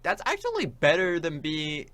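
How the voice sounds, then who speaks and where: Young adult male co-host, slightly high voice, lively swings, rambling excitable banter full of filler "like". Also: normal speed. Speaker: radio show co-host. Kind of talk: casual banter about games and memes.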